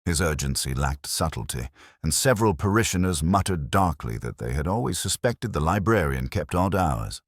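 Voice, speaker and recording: A man narrating a scripted mystery story in a measured, literary way. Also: rumbling low, animated, no room sound, studio quality.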